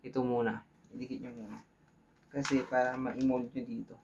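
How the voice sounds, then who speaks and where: A man's voice: mid-range voice, level tone, normal speed, darkish tone, lo-fi sound, a little hiss or hum. A man with a Filipino accent hosts a hands-on tutorial in an informal way, thinking aloud and checking in with viewers. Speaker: tutorial host. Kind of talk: casual hands-on tutorial talk.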